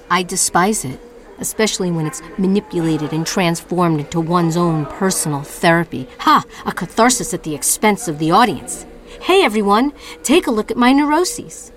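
A woman playing a brooding, self-doubting artist in an introspective dramatic monologue, delivered with theatrical, deliberate intensity. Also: medium pitch, close to an everyday voice. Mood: mocking, declamatory.

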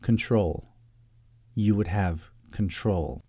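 A man voicing a calculating, self-satisfied lawyer in a comic story, measured, coaxing and businesslike. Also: lowish voice, dark tone, dry recording. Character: calculating, smooth-talking male lawyer, unflappable and self-satisfied.

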